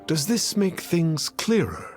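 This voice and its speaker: Self-important sage, archaic phrasing, lively intonation, measured pace, video game, background music. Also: male voice, fairly high pitch. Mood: lofty, mysterious. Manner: grandiose, archaic, theatrical phrasing. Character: pompous self-styled mystic master posing as an immortal sage. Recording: music playing behind.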